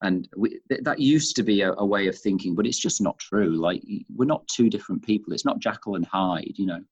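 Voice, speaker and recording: Man, Northern English accent, deeper voice, thoughtful halting delivery, conversational interview answers, muffled recording. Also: husky. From Manchester, England. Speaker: interview guest, chief executive of leadership institute. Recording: dull, muffled lo-fi audio.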